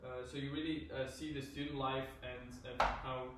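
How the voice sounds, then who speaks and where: A man's voice: mid-range voice, flat delivery, moderate pace. A man, chatting casually and teasingly in an unscripted group conversation. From Greece. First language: Greek. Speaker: student society board member and co-host. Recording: very echoey, distant mic, noisy room.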